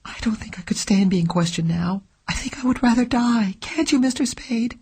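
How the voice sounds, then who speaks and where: A woman's voice: on the high side, normal speed, mellow, breathy edge, pitched above the performer's own voice. A woman reading an audiobook, voicing a frightened young woman who pleads for help, with a thin, tremulous, faltering voice that slips into whispers. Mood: pleading. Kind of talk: audiobook character voice.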